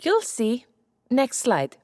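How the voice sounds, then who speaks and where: A woman playing a brisk, clinical scientist wife in an audiobook drama, matter-of-fact and firm. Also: fairly high pitch, huge pitch swings, darkish tone, no room sound, clean studio sound, exaggerated voice. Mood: insistent. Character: Santa's brisk, clinical scientist wife, immortal, coolly determined.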